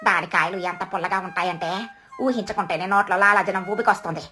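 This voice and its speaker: A woman's voice: on the low side, fairly even intonation, fast, bright, forceful tone, soft background music. A woman voicing an eager, confident, helpful female bird in a Telugu children's cartoon, speaking emphatically.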